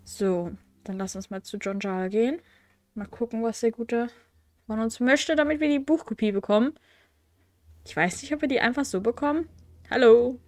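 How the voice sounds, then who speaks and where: A woman's voice: on the high side, normal speed, darkish tone, dry recording. Chatty young woman with a cold. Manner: chatty, wry, with frequent fillers and self-corrections. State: has a cold. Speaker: let's play host. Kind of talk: casual gameplay commentary, reading quest text aloud. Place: at home.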